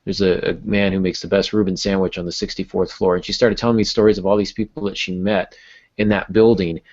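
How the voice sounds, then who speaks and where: Male podcast host, low register, casual conversational delivery, slightly muffled lo-fi audio. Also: little pitch movement, fast, darkish tone.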